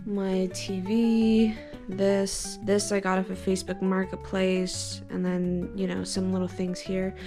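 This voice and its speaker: A woman's voice: medium pitch, completely flat delivery, a little slow, over music. A woman in her twenties talking casually to camera at home, rambling and chatty with lots of "like" fillers. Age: 20s. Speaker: lifestyle vlogger. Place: her own home, indoor living area.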